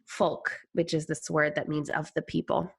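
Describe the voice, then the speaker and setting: A woman speaking as a podcast guest in a reflective conversation, thoughtful and halting as she searches for words. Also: sits low, level tone, vocal fry, breathy edge.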